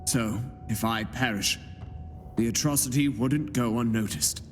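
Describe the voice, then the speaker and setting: An actor playing a pious, emotional middle-aged knight in a game, speaking solemnly. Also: male voice, medium pitch, measured pace, big-room sound, faint music behind, natural voice. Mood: grave. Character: pious, tearful male knight, middle-aged, humble yet pleading. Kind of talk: game voice acting.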